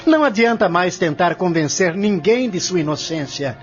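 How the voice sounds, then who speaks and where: A man's voice: high voice, animated, moderate pace. A man playing a confident, relentless lawyer in an audio drama, arguing in a formal, articulate, declamatory way. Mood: pressing, stern. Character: confident prosecuting lawyer, relentless, methodical, formal courtroom orator. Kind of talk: audio drama acting, courtroom scene. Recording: dull lo-fi audio with music underneath.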